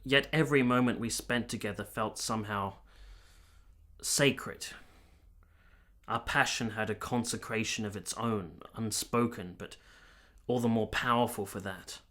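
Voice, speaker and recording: Earnest period gentleman played by a male actor, radio play. Lowish male voice, measured and formal, a reflective, troubled lover. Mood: guilty, reflective. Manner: measured, formal literary phrasing. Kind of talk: radio drama dialogue and first-person narration.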